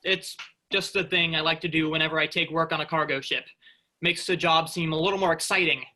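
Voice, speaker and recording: A man's voice: on the high side, fairly even intonation, fairly quick, sharp tone. A man playing a restless, sarcastic space freighter worker, informal and exasperated, in a staged reading. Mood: wistful, challenging. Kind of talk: virtual staged reading of a sci-fi play.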